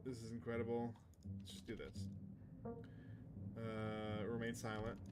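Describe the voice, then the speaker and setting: A man's voice: on the low side, completely flat delivery, a little slow, over loud music. A man giving casual game commentary as a streamer, muttering half-thoughts and reading on-screen story text aloud. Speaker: streamer playing a visual novel.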